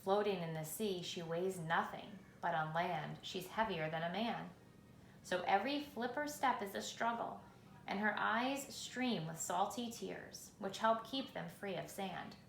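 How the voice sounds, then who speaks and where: Woman reading a children's book, creaky voice. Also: mid-range voice, measured pace. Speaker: reader. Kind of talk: children's picture book read aloud. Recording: roomy echo, faint background noise.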